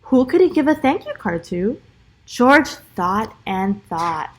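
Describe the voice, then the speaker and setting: A woman's voice: on the high side, sing-song, slow pace, slight background noise. A woman teacher reading a children's storybook aloud expressively, with encouraging little asides to young listeners.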